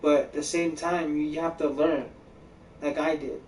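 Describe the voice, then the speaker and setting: A man's voice: on the high side, flat delivery, a little slow, a little mellow, reverberant, far from the mic, poor audio. A man streaming a game, giving repetitive mentor-like advice in casual chat and calling the listener bro and buddy. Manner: talks like an older mentor, repeats himself, calls the listener bro and buddy.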